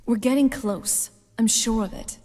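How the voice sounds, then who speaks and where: A woman's voice: on the high side, measured pace, mellow, no echo, close to an everyday voice. Actress as a wry vampire noblewoman, music behind. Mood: cautious, curious. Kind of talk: video game character dialogue. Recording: game audio with background music.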